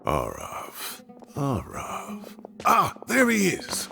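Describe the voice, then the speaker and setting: A man playing an excitable, courteous older professor in a video game, creaky-voiced, theatrical and effusive. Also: a little high, extremely slow, exaggerated voice. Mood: searching. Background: faint music.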